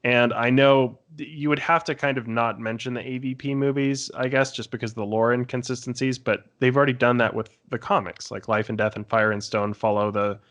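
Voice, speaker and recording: A man's voice: medium pitch, fairly even intonation, a little fast, hardly any pauses, fairly bright tone. A man talking casually as a panellist in a fan discussion podcast.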